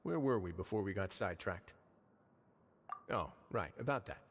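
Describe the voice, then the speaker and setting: A man playing a calm, authoritative, dryly wry prison overseer in a video game, speaking in a measured way. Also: low voice, a little hiss or hum. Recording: faint music under the voice.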